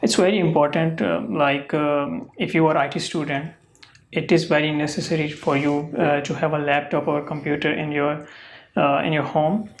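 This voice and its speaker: Halting young test-taker with Pakistani accent. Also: mid-range voice, fairly even intonation. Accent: Pakistani English. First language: Urdu. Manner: halting answers full of uh and um, simple grammar. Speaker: test candidate. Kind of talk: mock exam answers.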